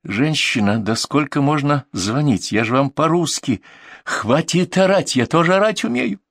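A woman's voice: sits low, animated, normal speed, no echo, studio quality, pitched above the performer's own voice. A man voicing a young, short-tempered ambulance dispatcher woman who snaps at callers. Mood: exasperated. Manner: snappy, exasperated.

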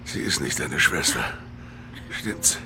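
An actor playing an angry, bitter killer on the run in a radio crime drama, berating and volatile. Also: male voice, a bass voice, lively intonation, slow pace, vocal fry, exaggerated voice. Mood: grim, subdued. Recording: noisy ambience behind.

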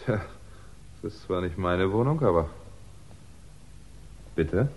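An actor playing a wry, articulate crime writer who speaks in a measured, precise way in a 1960s German radio play. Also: male voice, low-pitched, lively intonation, darkish tone, full character voice. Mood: guarded, wry. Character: wry, articulate male crime writer narrating his own predicament. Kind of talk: 1960s German radio drama, first-person narration. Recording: muffled 1960s radio recording.

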